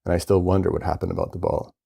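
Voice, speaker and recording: Male story reader, low and creaky, conversational delivery of first-person fiction. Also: flat delivery, very fast, warm tone. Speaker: author reading own short story.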